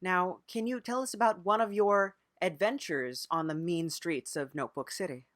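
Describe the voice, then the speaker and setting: Podcast interviewer for improvised mock interview: medium pitch, earnest and hesitant questioning, dry sound. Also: normal speed, fairly bright tone, dry recording. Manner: hesitant, earnest questioning with frequent murmured acknowledgements.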